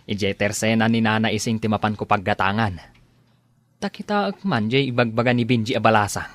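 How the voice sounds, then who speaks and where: A man's voice: lowish voice, a little fast, fairly bright tone, no room sound, natural voice. Smitten village drinker man acting, close dry sound. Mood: scheming. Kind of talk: Philippine radio-style audio drama acting.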